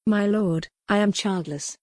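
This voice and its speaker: A woman's voice: medium pitch, a little slow, a little mellow, dry recording, clean studio sound, close to an everyday voice. Frail, wry young noblewoman, formal speech , read by a woman narrator.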